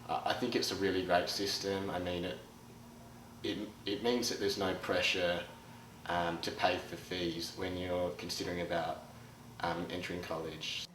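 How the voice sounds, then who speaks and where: Deep-voiced young Australian man. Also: level tone, normal speed, fairly bright tone, a little hiss or hum. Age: young adult. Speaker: exchange student. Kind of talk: casual interview answers. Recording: echoey room, mic at a distance.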